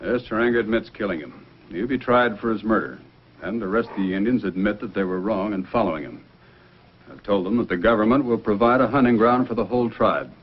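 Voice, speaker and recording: A man's voice: fairly low pitch, fairly quick, husky. A man playing a firm, fair Mountie sergeant in an old adventure series, speaking with clipped authority. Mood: calm, official. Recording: dull vintage broadcast recording, music behind.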